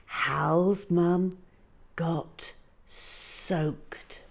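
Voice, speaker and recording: A woman storyteller reading a picture book expressively, with a dramatic, drawn-out delivery for young children. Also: fairly low pitch, fairly even intonation, gravelly.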